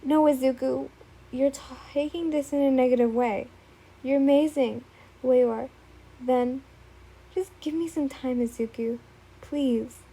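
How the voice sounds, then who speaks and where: A woman's voice: sits high, fairly even intonation, measured pace, long pauses, warm tone, slightly rough, heavily acted, rough recording. A woman voicing a young woman who speaks in a firm, insistent way. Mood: reasoning.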